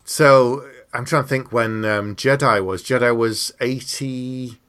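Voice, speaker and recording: Man, middle-aged, medium pitch, podcast host, casual chat, explanatory, acts out imagined remarks. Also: slow pace, a bit bright, clear, resonant tone. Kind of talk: casual chat about books and films.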